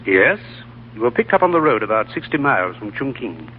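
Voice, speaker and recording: An actor playing a sensible, concerned gentleman writer, polite and clear, in 1940s radio drama style. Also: mid-range voice, very animated, fairly quick, a little hiss or hum, lightly acted. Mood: earnest, gentle. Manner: polite, solicitous, clearly enunciated. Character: concerned, sensible gentleman writer looking after his sick friend. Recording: tinny old radio broadcast, faint music.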